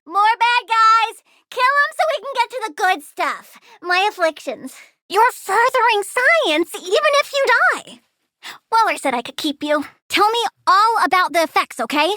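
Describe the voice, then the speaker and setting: A woman's voice: extremely high pitch, bright, forceful tone, no echo, studio quality, full character voice. A woman voicing a childlike young plant-controlling villain girl in a video game, perky, precocious and sassy, eager and cheerfully menacing. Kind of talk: video game character voice lines.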